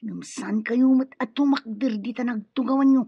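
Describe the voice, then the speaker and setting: A woman's voice: on the high side, normal speed, breathy edge, no echo. A woman with an Ilocano accent playing a nervous, hesitant, deferential underling of a crime boss in a radio drama. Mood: flustered, deferential. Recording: dull, muffled dry studio.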